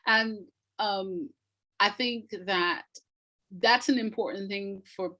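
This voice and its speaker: A woman's voice: mid-range voice, slow, bright, forceful tone. A woman speaking as a playwright guest in a thoughtful interview, reflective and repeating words for emphasis.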